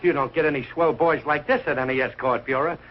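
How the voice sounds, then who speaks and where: A middle-aged man playing a blustering, soft-hearted working-class American father in a 1940s television sitcom, with blunt, slangy, ungrammatical speech. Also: a little high, steady tone, fast, natural voice. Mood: excited, proud. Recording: noisy, dull old television kinescope soundtrack.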